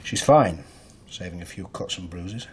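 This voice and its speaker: An actor playing a measured, formal police detective in a radio drama. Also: male voice, low-pitched, lively intonation, slight background noise. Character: measured male police detective questioning a suspect. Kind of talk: naturalistic radio drama acting.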